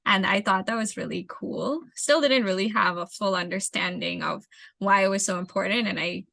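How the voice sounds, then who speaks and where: A young woman with a bright, forward voice and a gravelly fry at the ends of phrases. She answers interview questions about her work in a measured, explanatory way, circling back on words while she thinks. The sound is dry, with little sense of a room. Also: medium pitch, no echo. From the Bahamas. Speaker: interview guest, urban planner.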